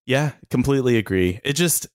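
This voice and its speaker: A laid-back man of middle pitch talks over a movie in casual review chat. He leans on fillers and laughs easily. His voice is close and dry. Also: expressive, fairly quick, dry recording.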